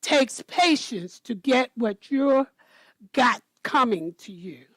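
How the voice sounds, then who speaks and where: A woman voicing a patient, proud, gentle old grandfather in a children's storybook reading. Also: on the high side, expressive, slow pace, dry recording, full character voice.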